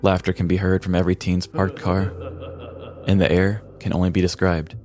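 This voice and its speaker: A man's voice: low voice, flat delivery, moderate pace, darkish tone, faint music behind. An American man co-hosting a comedy podcast, telling stories with wry, dry humor. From American Midwest. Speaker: podcast co-host. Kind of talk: casual comic podcast talk and scripted storytelling.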